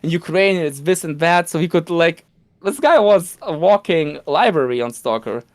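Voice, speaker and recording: Polish-native male guest, somewhat high and smooth, excited rambling storyteller. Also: moderate pace, no echo. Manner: excited storytelling with laughs and restarts. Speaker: podcast guest, cosplayer. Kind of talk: casual podcast chat.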